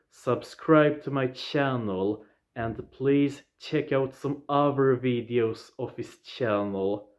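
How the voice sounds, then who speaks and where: A man of twenty-five with a Swedish accent, talking straight to his viewers in a plain, deliberate way, often opening with "yeah.". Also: mid-range voice, darkish tone, lo-fi sound. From southern Sweden. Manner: deliberate, plain phrasing, starts points with "yeah". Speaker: vlogger. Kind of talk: casual personal recap to viewers.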